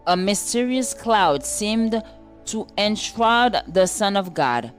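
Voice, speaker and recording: A woman's voice: medium pitch, animated, takes it slowly, faint music behind. A woman reading a scripted devotional aloud as narrator. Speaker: devotional reader.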